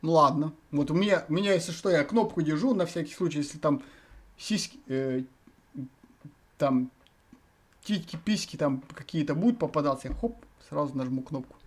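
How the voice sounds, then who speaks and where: A man's voice: on the high side, normal speed. A man from Russia vlogging from random video chats, thinking aloud to his viewers in a rambling, hesitant way full of filler words.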